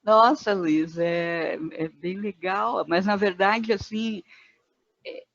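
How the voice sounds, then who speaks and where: A woman in her fifties, a guest facilitator, speaking thoughtfully in a podcast conversation with many pauses and fillers. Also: medium pitch, steady tone, creaky, fairly bright tone, no room sound, highs cut off. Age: 50s.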